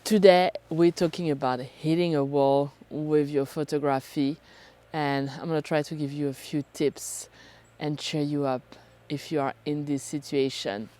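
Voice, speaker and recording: A woman, hoarse from a cold, talking casually and chattily to camera as a self-deprecating vlog host. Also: sits low, fairly even intonation, unhurried, mellow. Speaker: photography vlogger and host.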